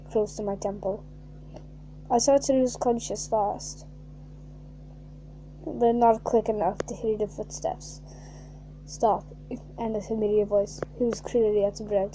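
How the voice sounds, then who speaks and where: A woman reading a fan fiction aloud in a mocking way, reading flatly and then bursting into shrieking, laughing asides. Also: mid-range voice, measured pace, pauses often, slight background noise, rough recording.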